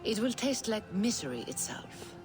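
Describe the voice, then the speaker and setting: An actress playing a solemn mystic seeress in a video game scene, with a measured, incantatory delivery. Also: female voice, medium pitch, sing-song, over music, close to an everyday voice. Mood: solemn, mysterious. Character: solemn mystic seeress brewing a vision elixir. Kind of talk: video game cutscene acting.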